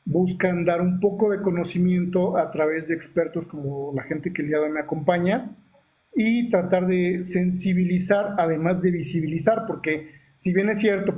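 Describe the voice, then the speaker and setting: A man's voice: on the high side, a little mellow. Formal man moderating, lo-fi sound. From Mexico. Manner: measured, formal, with frequent fillers and restarts. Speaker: panel moderator. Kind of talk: moderating an online panel discussion.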